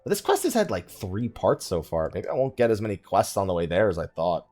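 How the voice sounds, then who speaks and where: A man's voice: lowish voice, wildly swooping pitch, a little fast, dark tone. A man hosting a gaming let's play, chatting casually in a rambling, joking way with mock exasperation and self-mockery. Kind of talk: casual chatty game commentary.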